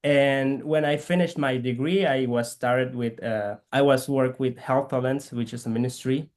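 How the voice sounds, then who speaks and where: A man from Guatemala with a Guatemalan Spanish accent, whose first language is K'iche', speaking haltingly as an interview guest and searching for words. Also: medium pitch, steady tone, darkish tone. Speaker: guest, physical therapist missionary. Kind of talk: conversational interview.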